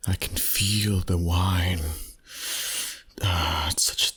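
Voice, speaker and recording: A flirty, commanding male vampire played by a man for an intimate ASMR roleplay. His voice sits very low and comes out husky and breathy. He takes his time, teasing softly and tenderly with slow, seductive phrasing. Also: fairly even intonation, exaggerated voice. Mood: hungry, seductive. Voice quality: breathy, husky, often near a whisper.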